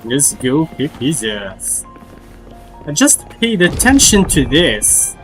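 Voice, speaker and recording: A man's voice: fairly high pitch, sing-song, slow, a bit bright. A man streaming a game and reacting live in a casual, informal, slangy way. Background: game music.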